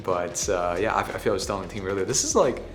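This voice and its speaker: Brief: hoarse, low-voiced man, casual vlogger, echoey room. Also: animated, quick pace. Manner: casual, swears, talks softly to spare his voice. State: hoarse, recovering from voice loss. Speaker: ads agency owner hosting a workshop. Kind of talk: casual vlog talk to camera.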